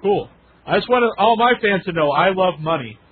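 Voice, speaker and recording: A man's voice: high-pitched, lively intonation, moderate pace, husky. A man hosting a casual radio interview, easygoing and joking. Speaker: radio host. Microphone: telephone. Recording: tinny phone line with faint hiss.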